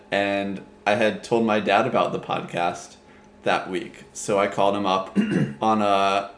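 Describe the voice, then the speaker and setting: A man hosting a casual podcast chat, telling a rambling story and laughing easily. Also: fairly low pitch. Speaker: podcast host. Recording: echoey room, faint background hum.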